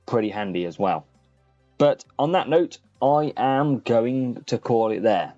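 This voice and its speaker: A man's voice: mid-range voice, expressive, measured pace, mellow, no room sound. A man casually commentating on a live gaming stream, chatting to viewers and addressing them by name. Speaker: streamer and host of a gaming channel. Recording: muffled lo-fi sound, music behind. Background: faint background music.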